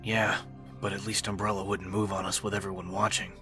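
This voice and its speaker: A big, gruff man acted out by a male reader talks bluntly and plainly, as in an audiobook drama. The voice is low, with music underneath. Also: flat delivery, a little fast, natural voice. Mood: gruff, thoughtful. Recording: music behind the voice.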